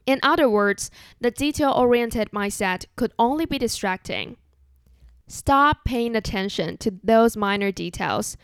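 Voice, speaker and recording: A young woman with a Chinese accent hosting a solo podcast in an earnest, measured, relaxed way. English is not her first language. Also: a little high, smooth, no room sound, studio quality. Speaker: podcast host.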